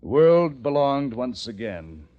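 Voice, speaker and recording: A man's voice: mid-range voice, big pitch swings, slow pace. A man acting as a desperate, weary plague survivor, narrating an old-time radio drama in an American accent with theatrical, clipped phrasing. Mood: haunted, grim. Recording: vintage radio recording, dull sound, orchestral score. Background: dramatic orchestral music with brass.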